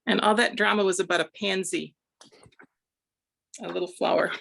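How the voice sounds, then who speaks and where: A woman whose first language is Croatian, introducing and reading poems at a poetry event in a slow, deliberate, expressive way. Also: mid-range voice, gravelly, low-quality recording. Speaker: poetry reader.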